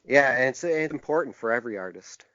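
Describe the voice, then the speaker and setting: A man answering interview questions in a halting, earnest way. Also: mid-range voice, animated, sharp tone, dry recording. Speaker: interview guest; typographer and book designer. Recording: dull, narrow-band lo-fi sound.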